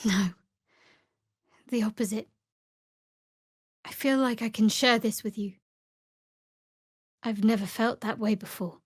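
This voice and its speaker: A woman playing a guarded, sardonic young woman cleric of a dark goddess in a video game, with a dry manner. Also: fairly high pitch, steady tone, takes it slowly, pauses often, slightly rough, no echo. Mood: soft, confiding. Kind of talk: video game character voice acting.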